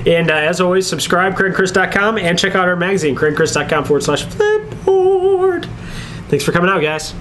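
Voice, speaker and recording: A man's voice: high voice, sing-song, a little fast, fairly bright tone. A man co-hosting a talk show in casual, unscripted, easygoing conversation. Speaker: co-host of a video game and movie talk show. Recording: clear background noise.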